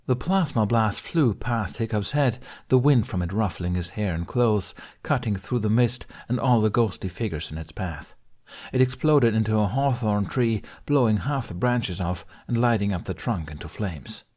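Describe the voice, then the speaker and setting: A man narrates a fantasy fan fiction story in a steady, expressive reading. His voice sits low, and he keeps a calm storyteller's pace through the action. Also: moderate pace. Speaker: podfic reader. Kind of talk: fanfiction audiobook narration.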